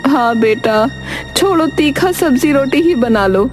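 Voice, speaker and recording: A woman's voice: high voice, lively intonation, unhurried, voice pushed up. A woman voicing a weak, grateful village mother with a homely rustic manner in a cartoon story. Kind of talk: cartoon moral story acting. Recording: music behind the voice.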